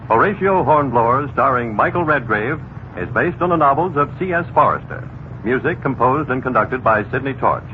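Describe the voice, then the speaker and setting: A man's voice: medium pitch, expressive, moderate pace. A man reading scripted closing credits as a radio announcer. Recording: tinny old radio broadcast, faint noise.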